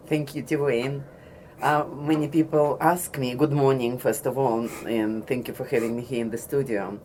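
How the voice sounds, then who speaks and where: A woman's voice: very deep voice, steady tone, warm tone, a little hiss or hum. A woman from the former Soviet Union with a Russian accent, giving a personal story speech in a studio. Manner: slow, deliberate, with pauses. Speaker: guest speaker.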